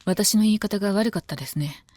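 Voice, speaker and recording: A woman playing a composed, devoted female knight of common birth in a Japanese voice drama, speaking calmly, politely and softly. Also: medium pitch, fast, no room sound, close to an everyday voice. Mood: resigned, composed. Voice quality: calm, composed. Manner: polite, deferential, soft-spoken. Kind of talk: Japanese voice drama, intimate scripted dialogue.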